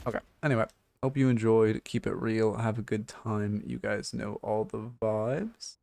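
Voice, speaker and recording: A hoarse man giving blunt, rambling game coaching notes on a livestream, full of fillers and restarts. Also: on the low side, fairly even intonation, moderate pace, darkish tone, no room sound. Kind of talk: casual livestream coaching talk.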